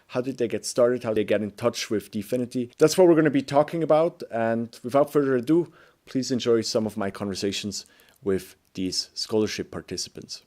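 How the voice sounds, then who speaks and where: A man hosting an interview show, introducing guests and asking relaxed, friendly questions. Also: medium pitch, normal speed. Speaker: host.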